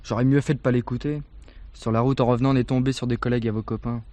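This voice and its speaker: A man's voice: mid-range voice, flat delivery, quick pace, a little mellow, no room sound, close to an everyday voice. An actor playing a cheeky, defensive working-class teenage boy in a French radio drama, slangy and offhand. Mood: offhand, grudging.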